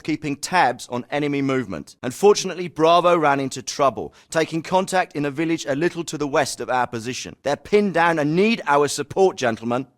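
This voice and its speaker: A man's voice: a little high, lightly acted. A man voicing an authoritative, composed special forces commander in a video game, giving scripted briefings in a clipped, formal manner. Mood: brisk, commanding. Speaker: game voice actor.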